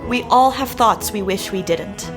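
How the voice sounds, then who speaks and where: An actress playing an arch, reassuring sweetheart in an audio drama. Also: female voice, medium pitch, measured pace, over music, close to an everyday voice. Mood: wry, tender.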